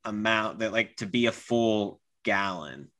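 A man's voice: fairly low pitch, unhurried, sharp tone. A man co-hosting a podcast in casual chat, easygoing and hedging as he talks. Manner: easygoing, hedging with lots of "like" and restarts. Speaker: podcast co-host.

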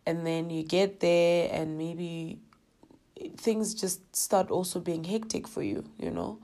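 A woman with a South African accent hosting her own podcast, slightly hoarse from a coming cold, talking in an earnest, heartfelt way with lots of "you know"s. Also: fairly low pitch, a little mellow. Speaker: podcast host. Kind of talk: reflective personal podcast talk.

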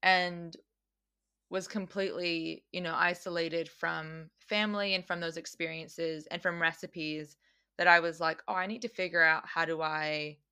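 A woman's voice: on the low side, steady tone, unhurried, sharp tone, clear, resonant tone. A woman hosting a podcast, talking in a thoughtful, casual way, with frequent restarts as she works through her ideas. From Mexican American. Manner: thoughtful, many restarts and fillers. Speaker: podcast host. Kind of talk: casual conversational interview. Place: Australia.

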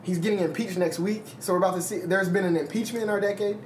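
A man's voice: high voice, steady tone, quick pace, a little hiss or hum. A man in his twenties co-hosting a podcast, chatting casually with friends. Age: 20s. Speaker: podcast co-host. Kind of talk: casual chat.

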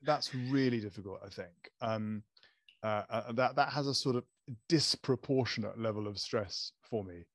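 A man's voice: lowish voice, moderate pace, no echo. A man who stammers, talking casually as a guest, with hesitant fillers and repeated words. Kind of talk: casual conversation.

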